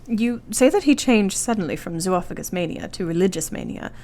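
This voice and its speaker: A woman's voice: lowish voice, expressive, a little fast, darkish tone, a little hiss or hum. A woman acting an earnest, anxious young Victorian wife in a radio play, devoted and thoughtful, with formal, precise period phrasing.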